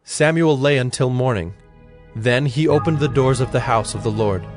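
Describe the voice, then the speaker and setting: Measured male scripture narrator over faint music. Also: medium pitch. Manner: measured, formal storytelling.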